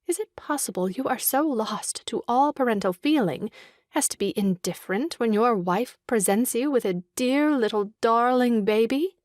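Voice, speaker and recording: An actress playing a brisk, earnest and slightly superior young woman in a theatrical audio farce. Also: on the high side, no echo, clean studio sound. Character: brisk, opinionated young Englishwoman, sister of new father.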